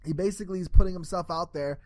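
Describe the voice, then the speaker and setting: A man's voice: high-pitched, fast, a little mellow, no room sound. A young man from New York giving a solo sports opinion talk, rambling with frequent restarts and repeated words. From New York, USA. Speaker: sports commentary host.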